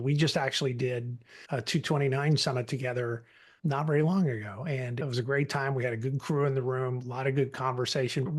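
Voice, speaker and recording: Chatty middle-aged male host. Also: medium pitch. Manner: friendly, chatty, drawn-out questions. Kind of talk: casual interview hosting.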